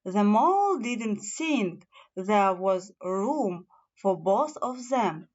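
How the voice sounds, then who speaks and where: A woman's voice: medium pitch, very animated, very slow, darkish tone. A woman reads a children's fairy tale aloud as a storybook narrator, stumbling now and then over her words. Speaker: storybook reader. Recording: slightly dull, lo-fi sound.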